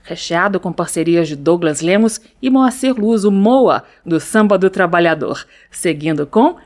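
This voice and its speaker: A woman's voice: on the low side, lively intonation, darkish tone. Woman presenting a radio music show. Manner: measured, informative presenter tone. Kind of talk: scripted radio announcing.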